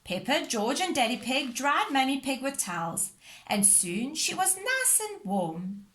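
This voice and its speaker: A woman's voice: sits high, very animated, a little slow, fairly bright tone. A woman reading a scripted children's story aloud as narrator. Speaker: storybook reader.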